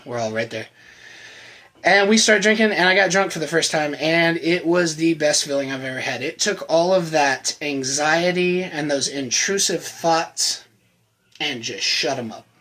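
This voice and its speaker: A man in his thirties giving a personal testimony to camera, earnest and conversational, often trailing off quietly at the ends of lines. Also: a little high, normal speed. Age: 30s. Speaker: channel host sharing his recovery story.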